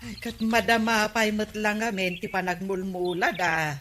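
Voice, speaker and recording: A woman's voice: medium pitch, little pitch movement, moderate pace, a bit bright, slight background noise, lightly acted. A woman playing a gossiping village housewife in a Filipino comedy radio drama, with an Ilocano accent. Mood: chatty.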